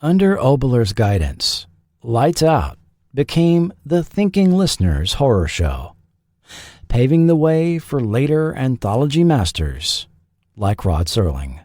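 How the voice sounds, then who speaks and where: Male documentary host, slow and dramatic, swooping intonation, close dry recording. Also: mid-range voice, big pitch swings, darkish tone, dry recording. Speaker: host and narrator of a history show. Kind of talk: scripted documentary narration.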